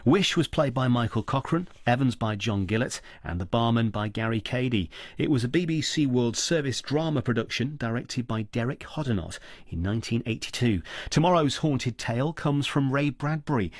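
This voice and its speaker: A man's voice: medium pitch, dry recording, studio quality. A British man reading a scripted broadcast announcement as a radio continuity announcer. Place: radio studio.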